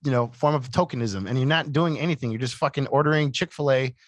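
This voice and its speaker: A man with a high-pitched voice co-hosting a casual podcast from a radio studio, rambling and laughing often. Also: fast talker, no room sound. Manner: laughs a lot, rambling, self-deprecating. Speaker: podcast co-host. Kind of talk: casual chat. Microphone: studio mic.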